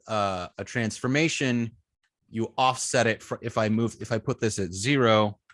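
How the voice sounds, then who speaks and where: A man teaching informally as an instructor, thinking aloud with halting, repeated and restarted phrases. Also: on the low side, a bit bright. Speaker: web design instructor.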